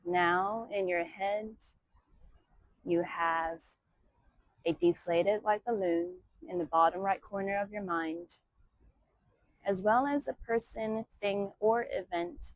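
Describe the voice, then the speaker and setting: Slow, soothing woman guiding meditation. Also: medium pitch, no echo, low-quality recording. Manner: soothing, measured, with long pauses between short phrases. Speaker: yoga teacher. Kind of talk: guided meditation and breathing instruction.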